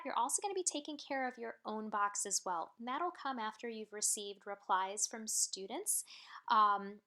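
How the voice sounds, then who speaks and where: Bright-voiced woman giving a casual training walkthrough. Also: a little high. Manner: casual and instructive, with many ums and uhs. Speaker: customer support trainer. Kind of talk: screen-share training walkthrough.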